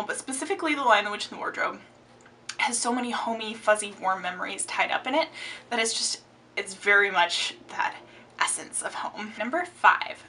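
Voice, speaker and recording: Bright, chatty young woman book vlogger. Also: medium pitch, far from the mic, a little hiss or hum. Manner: chatty and expressive, gestures a lot. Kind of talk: casual book tag chat. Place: home room with bookshelves. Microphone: camera framing, upper body.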